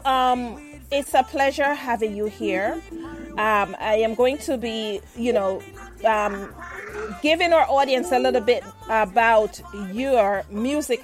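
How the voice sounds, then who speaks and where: A woman hosting an interview show. She gushes with praise and laughs often. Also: on the high side. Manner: effusive, gushing with praise and frequent laughter. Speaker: radio show host. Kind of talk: lively online interview.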